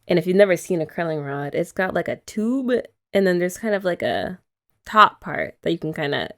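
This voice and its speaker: A woman's voice: on the low side, big pitch swings, normal speed, creaky. A young woman hosting an ASMR girlfriend roleplay, chatty and giggly as she rambles. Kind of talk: soft-spoken ASMR roleplay chat.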